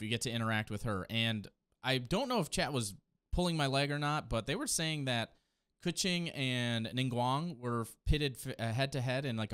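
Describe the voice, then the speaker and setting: A man's voice: mid-range voice, fairly bright tone, clear, resonant tone, no room sound. A man in his thirties giving enthusiastic, slangy live reaction commentary into a close mic. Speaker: streamer reacting to game trailers. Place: small home streaming room. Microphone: close desk microphone. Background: faint game trailer music.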